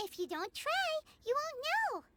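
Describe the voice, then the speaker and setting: A woman's voice: extremely high pitch, very animated, measured pace, heavy background noise, full character voice. A woman voicing a tiny floating fairy companion in a video game, bubbly and chatty, talking about herself in the third person. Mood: chirpy.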